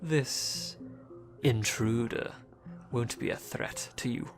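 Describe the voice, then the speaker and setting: A man's voice: mid-range voice, takes it slowly, music in the background, natural voice. A man playing a suave, teasing and devoted guardian demon with a menacing edge in an audio drama. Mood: soothing, menacing.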